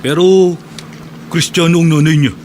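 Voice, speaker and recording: A man's voice: a little high, steady tone, normal speed, darkish tone, natural voice. A man acting as a courteous young husband in a radio play, speaking respectfully in a slightly raised voice. there is faint noise in the background. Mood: polite. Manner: polite, respectful.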